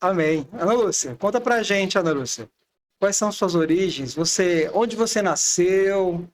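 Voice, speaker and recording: A man's voice: high voice, animated, unhurried. A man in his twenties hosting a religious podcast, chatty and loose in manner. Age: 20s. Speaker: podcast host. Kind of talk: casual religious podcast chat.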